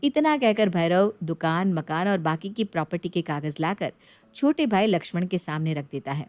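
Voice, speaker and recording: A woman's voice: on the low side, lively intonation, moderate pace, a little mellow, dry recording. A woman narrating a scripted family moral story as a storyteller.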